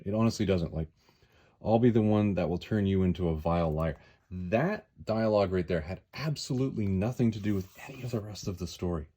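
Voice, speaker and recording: A man's voice: low-pitched, mellow. A man giving a casual, rambling comic book review in a wry, hesitant way, often repeating his words.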